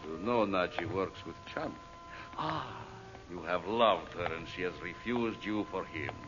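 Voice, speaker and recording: An actor playing an imperious, ruthless villain scientist bent on ruling the world, commanding and menacing in radio melodrama style. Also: male voice, low voice, expressive, a little slow, over music. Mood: arrogant. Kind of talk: radio serial melodrama acting. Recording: muffled, hissy old radio recording.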